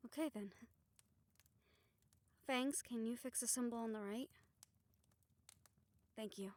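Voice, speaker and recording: A woman playing a calm, reassuring witch who leads a ritual in an audio roleplay, steady and encouraging as she gives instructions. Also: a little high, slow. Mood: earnest, focused. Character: calm, reassuring female witch leading a soul-bond ritual.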